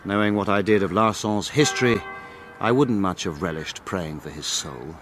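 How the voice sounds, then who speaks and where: An actor playing a proper, dryly disapproving gentleman who narrates a mystery drama in formal, measured phrases. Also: low voice, natural voice. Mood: grave. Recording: music bed behind studio narration.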